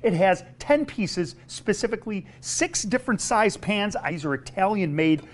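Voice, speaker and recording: A man's voice: sits high, big pitch swings, normal speed. A middle-aged man, a chef, reviewing cookware to camera in a friendly, enthusiastic way. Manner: enthusiastic, friendly, demonstrating pans. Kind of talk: casual product review to camera. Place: commercial kitchen.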